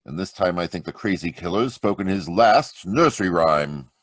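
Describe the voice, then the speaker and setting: A man's voice: low voice, lively intonation, moderate pace, dry recording. A man playing a confident hero detective with a secret identity in an old-time radio drama read over a video call. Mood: determined.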